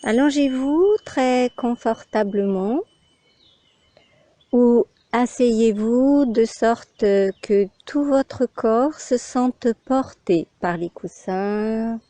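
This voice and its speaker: Very slow, mellow woman guiding meditation. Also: a little high, dry recording. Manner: measured, pausing between short phrases. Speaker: yoga nidra instructor. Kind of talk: guided meditation, calm spoken instructions in short phrases.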